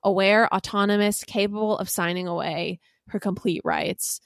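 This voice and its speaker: Woman, medium pitch, dry recording, podcast co-host, casual gossip, playfully sarcastic. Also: steady tone, normal speed, gravelly, dry recording. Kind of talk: casual comedic gossip chat.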